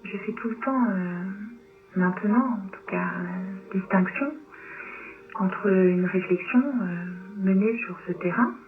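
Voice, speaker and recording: A woman writer answering interview questions in a thoughtful, halting way, pausing between phrases. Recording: tinny, noisy low-bitrate shortwave radio.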